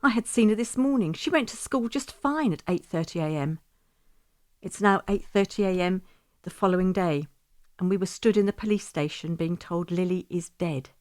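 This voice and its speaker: A woman's voice: lowish voice, normal speed, dry recording, clean studio sound, lightly acted. A woman playing a grieving, bitter widowed mother, telling her story in a first-person audio drama monologue. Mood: anguished.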